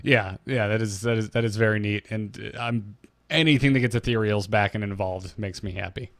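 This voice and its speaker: A man's voice: on the low side, steady tone, a little fast, a bit bright, dry recording. A man co-hosting a podcast in casual, conversational chat. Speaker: podcast co-host. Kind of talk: casual chat about game lore.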